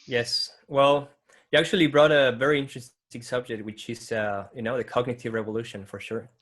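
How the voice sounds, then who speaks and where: A man's voice: mid-range voice, fairly even intonation, brisk, fairly bright tone. A man explaining ideas casually in a conversation, with loose phrasing and fillers.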